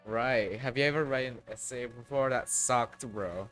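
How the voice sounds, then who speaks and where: A man's voice: mid-range voice, moderate pace, fairly bright tone, faint music behind. A young man streaming a game, reading text aloud and making wry jokes. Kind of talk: casual livestream reaction.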